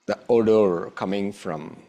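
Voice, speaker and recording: Very slow, low-voiced male meditation guide. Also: warm tone, dull audio. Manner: measured, with long pauses between short phrases. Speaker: meditation teacher.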